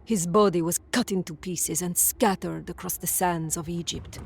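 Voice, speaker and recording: A woman's voice: lowish voice, fairly even intonation, moderate pace, creaky, husky. A woman playing a proud, resolute priestess in a video game cutscene, grave and commanding. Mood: grave, informing.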